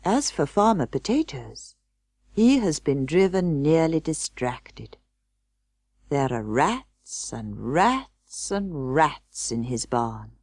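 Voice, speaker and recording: A British woman narrating a children's storybook in a measured, expressive way. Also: low-pitched, no room sound, clean studio sound. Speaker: audiobook narrator.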